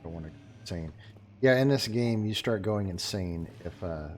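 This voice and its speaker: A man gives casual live commentary while playing a game. His voice is a bit low, and his talk is loose and chatty, with quick jokes for his viewers. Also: animated, soft background music. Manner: chatty, joking, talks to chat while playing. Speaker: streamer.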